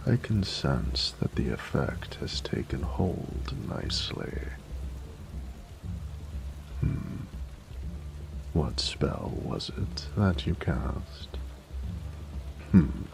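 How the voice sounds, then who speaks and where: A man playing a flirty, adoring dragon mentor in an intimate romantic audio roleplay, speaking formally in a gravelly voice that often drops to a whisper. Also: extremely low pitch, full character voice. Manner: slow, formal, doting, seductive.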